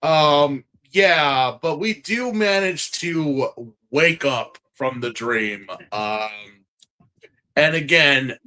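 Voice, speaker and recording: A man hosting a casual podcast review, animated and slangy, repeating phrases for emphasis. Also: on the high side, drawn-out words. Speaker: podcast host.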